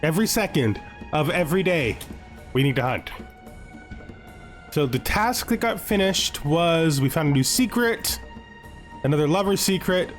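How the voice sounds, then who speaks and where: A man with a slightly high voice gives playful gameplay commentary as a video host. He talks to himself in an animated way, often repeating his phrases. Faint music plays behind him. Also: moderate pace, long pauses. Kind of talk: playful gameplay commentary with a scripted lore narration.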